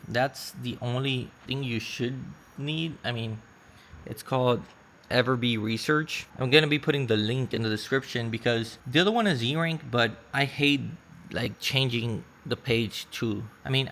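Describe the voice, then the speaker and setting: A man's voice: medium pitch, normal speed, fairly bright tone, a little hiss or hum. A man whose first language is Spanish, vlogging casually about his online shop and walking through his screen, often correcting himself mid-sentence. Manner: rambling, self-correcting, uneven pace. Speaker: Etsy seller vlogger. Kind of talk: casual vlog with screen walkthrough.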